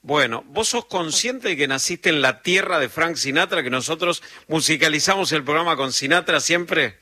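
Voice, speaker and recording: A man's voice: fairly high pitch, normal speed, bright, forceful tone. A man with an Argentine accent hosting a radio interview, chatty and playful. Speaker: radio host.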